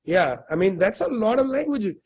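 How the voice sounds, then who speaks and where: High-voiced man, earnest questioning. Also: very animated, moderate pace, darkish tone, husky. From India. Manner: earnest, many rhetorical questions and "you know" fillers. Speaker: interview guest. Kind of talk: conversational political commentary.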